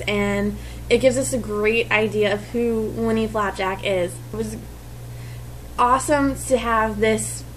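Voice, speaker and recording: A woman with a fairly high voice chats casually in a book review video. A faint hum runs behind her talk. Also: low-quality recording.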